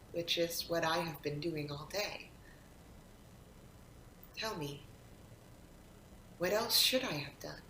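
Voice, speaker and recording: A woman reciting poetry expressively, in a deliberate manner with dramatic pauses. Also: fairly bright tone. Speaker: poetry reader, actor. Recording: roomy echo, faint rumbling background noise.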